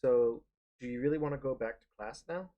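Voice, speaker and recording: A man's voice: mid-range voice, a little fast, phone-quality sound, natural voice. Man reading as a polite, guarded schoolboy. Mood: reserved, polite. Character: polite, guarded male high school student. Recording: clearly noisy background.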